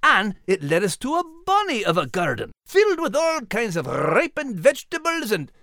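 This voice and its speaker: A man's voice: high-pitched, huge pitch swings, normal speed, bright, forceful tone, no room sound, clean studio sound, pitched above the performer's own voice, exaggerated voice. A man voicing a good-hearted male animal adventurer with a Scottish accent, hesitant and folksy, in a children's story show. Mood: hesitant, earnest.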